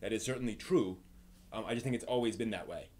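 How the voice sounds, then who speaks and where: A man hosting a live stream in casual, halting chat, circling back over his phrases. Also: fairly low pitch, a little mellow, a little hiss or hum. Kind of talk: casual rambling chat about anime.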